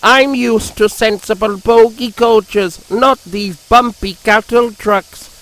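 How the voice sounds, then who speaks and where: A haughty, sulking steam engine who grumbles at everything, put on by a man reading a storybook. The voice sits well above the reader's own and drags along slowly. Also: high-pitched, animated, fairly bright tone, voice pushed up, poor audio. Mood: straining. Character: grumpy, pompous male steam engine.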